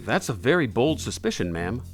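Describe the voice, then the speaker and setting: A man's voice: medium pitch, big pitch swings, moderate pace, music in the background. A man acting an earnest, polite superhero with a mild-mannered alter ego in a radio play. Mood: awkward, polite.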